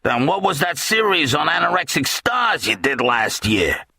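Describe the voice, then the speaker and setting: A man's voice: on the high side, very animated, normal speed, creaky, pushed and bright, slightly rough, no echo, pitched above the performer's own voice, exaggerated voice. A man voicing a crass middle-aged tabloid boss, an ex-thug who is blunt and impatient, in an audiobook. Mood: impatient, barking. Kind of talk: audiobook character acting.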